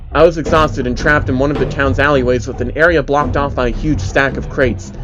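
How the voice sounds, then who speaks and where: A man narrating as host of a dramatic creepypasta reading, telling a first-person story to listeners. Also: medium pitch. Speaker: narrator, channel host reading fan stories.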